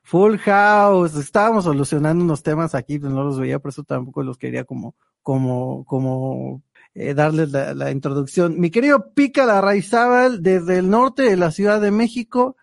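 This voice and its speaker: Chatty high-voiced man hosting. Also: moderate pace, gravelly, no echo, studio quality. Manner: chatty, teasing, sprinkles Japanese words. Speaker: host of a live stream. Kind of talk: casual live-stream hosting.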